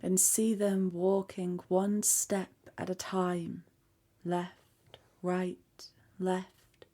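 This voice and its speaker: A woman leading a guided meditation in a soft, calm voice that often drops to a near-whisper. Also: fairly low pitch, very slow. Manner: soft, calm, hushed, half-whispered at times.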